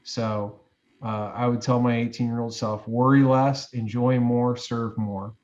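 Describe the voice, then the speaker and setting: A man's voice: mid-range voice, little pitch movement, a little slow, a little mellow, lo-fi sound, slight background noise. Rambling, filler-heavy man in his forties. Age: 40s. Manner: rambling, many restarts and fillers. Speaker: guest. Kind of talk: reflective interview chat.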